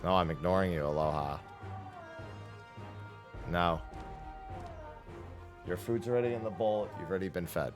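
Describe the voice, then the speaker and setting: A man's voice: sits low, normal speed, pauses often, over music. A man giving casual, offhand live commentary as he streams a game playthrough.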